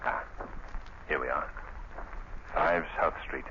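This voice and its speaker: A deep, calm hero. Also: male voice, slow. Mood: resolute. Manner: steady, assured, low-key. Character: calm, resolute male hero, crime fighter. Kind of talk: 1930s radio drama acting. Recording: muffled, hissy old radio recording.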